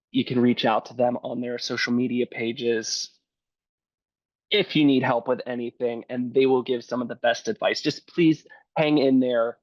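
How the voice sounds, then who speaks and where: Hoarse man, slow and earnest. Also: mid-range voice, no echo, muffled recording. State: hoarse from bronchitis. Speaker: podcast guest. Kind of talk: remote interview.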